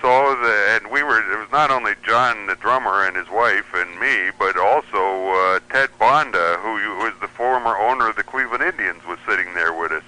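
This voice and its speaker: Radio guest, American man, middle-aged. Sharp, forceful tone on a lowish voice, casual storytelling. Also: moderate pace, hardly any pauses, smooth, rough recording. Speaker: guest, shortwave radio hobbyist.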